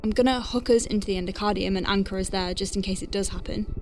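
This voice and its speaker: A woman's voice: mid-range voice, fairly even intonation, quick pace, darkish tone, soft background music, lightly acted. A woman playing an anxious but wry medic piloting a craft in a science fiction audio drama, thinking aloud in technical terms. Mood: focused, thoughtful.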